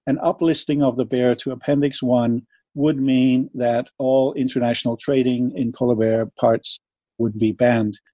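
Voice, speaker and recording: A man from Denmark with a Danish accent, speaking English as a second language in an interview, measured and laying out his argument step by step with numbers. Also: mid-range voice, mellow, no echo. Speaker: interviewee, wildlife advocate. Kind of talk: earnest explanatory interview talk.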